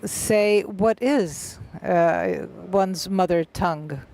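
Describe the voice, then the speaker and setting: A live interpreter carries a festival panel discussion into English. The delivery comes in short, halting phrases broken by frequent filled pauses and self-corrections. The pace speeds up and slows down unevenly, and the voice sits at a middle pitch. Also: gravelly, darkish tone.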